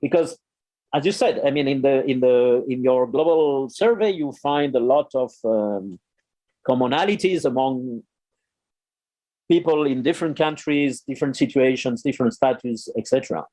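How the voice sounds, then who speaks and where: A man's voice: medium pitch, moderate pace, mellow, rough recording. A man giving earnest remarks at a conference, with frequent fillers and restarts. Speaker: conference speaker.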